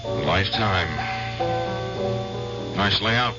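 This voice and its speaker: An actor playing an obsessed, vengeful middle-aged war veteran in an old-time radio drama, clipped, sardonic and deliberate. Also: male voice, low voice, over loud music. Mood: wry, clipped. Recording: dull lo-fi radio drama recording.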